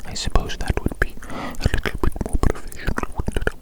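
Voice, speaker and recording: A woman acts out an evil genius scientist entirely in whispers for an ASMR roleplay. The delivery is theatrical and grandiose, with words and phrases repeated obsessively, as if fixated on every experiment. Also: brisk.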